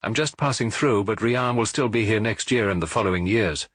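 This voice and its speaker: A man's voice: lowish voice, a little fast, fairly bright tone, no room sound. A man voicing a weary, laconic middle-aged detective chief who grumbles tersely and dryly in an audiobook. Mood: resigned, grumbling.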